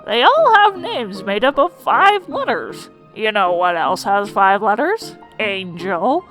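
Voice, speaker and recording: A woman's voice: medium pitch, sing-song, takes it slowly, fairly bright tone. A woman voicing a folksy, wry old monster schoolteacher in a fan comic dub, explaining things in colloquial speech. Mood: wry, explanatory. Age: older. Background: music.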